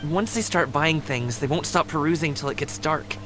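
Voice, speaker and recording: A man's voice: on the high side, normal speed, close to an everyday voice, poor audio. An actor voicing a friendly, attentive young man with a casual, earnest, boyish manner in an anime-style game. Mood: uneasy. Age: young adult. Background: game music.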